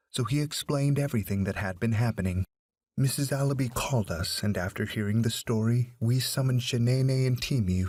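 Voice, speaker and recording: A man's voice: medium pitch, a little mellow, no echo, close to an everyday voice. Man reading a stern male sports teacher. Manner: formal, matter-of-fact.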